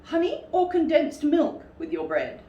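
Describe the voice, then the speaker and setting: A woman voicing a wary, fussy storybook rabbit who is polite but evasive, speaking in a loud, brisk way. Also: high-pitched, wildly swooping pitch, highs cut off, voice pushed up, heavily acted. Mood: relieved, chatty. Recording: roomy, distant mic with faint noise.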